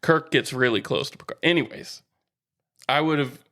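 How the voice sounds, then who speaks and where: A man's voice: mid-range voice, normal speed, fairly bright tone. A man with an American accent co-hosting a sports podcast in casual, bantering conversation.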